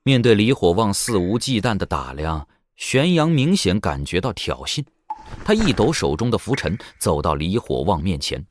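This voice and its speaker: A man's voice: deep voice, very animated, normal speed, no echo. A man narrating an audio drama in a dramatic, scripted storytelling style. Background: background music.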